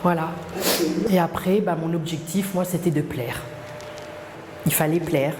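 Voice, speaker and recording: A middle-aged woman, speaking hesitantly and reflectively as an interviewee, with many pauses and restarts. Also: deep voice, fairly even intonation, noisy background. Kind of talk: personal testimony interview. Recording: echoey room, long reverb, faint ambience.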